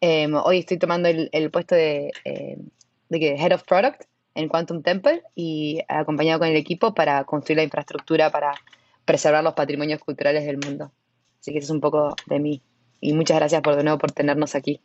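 A woman with an Argentine accent and a low voice, talking easily as an interview guest. Her recording sounds dry and slightly dull, with no room around it. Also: fairly even intonation, brisk, a little mellow, dry recording. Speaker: guest, head of product. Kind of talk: casual interview talk.

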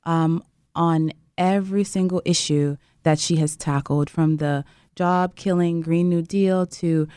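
A woman's voice: lowish voice, steady tone, a little slow, dark tone, clean tone, dry recording, clean studio sound. A woman from Jamaica, a congressional candidate, speaking firmly as a radio show guest. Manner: firm, campaign-speech delivery. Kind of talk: political interview by phone or studio line.

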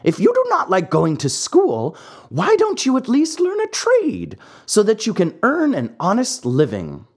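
A woman voicing a wise old male cricket who lectures in a calm, breathy voice. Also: mid-range voice, unhurried, pitched above the performer's own voice, heavily acted. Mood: lecturing.

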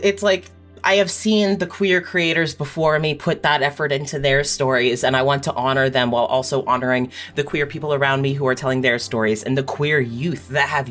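A woman talking tenderly and earnestly as a writer in a heartfelt panel conversation, choosing words with deliberate care. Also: sits low, a bit bright. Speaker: audio fiction writer on a panel. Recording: music playing behind the voice.

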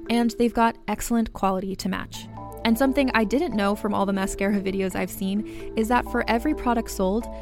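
A woman giving a casual, chatty product recommendation as a sponsor ad read. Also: mid-range voice. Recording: music bed underneath.

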